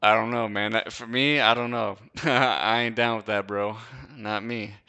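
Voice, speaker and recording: Bright, crisp-voiced laid-back guy. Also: lowish voice, fairly even intonation, measured pace. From Wisconsin, USA. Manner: laid-back slangy chat, lots of "dude" and "bro", frequent laughs. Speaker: host. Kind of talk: casual live-stream Q&A.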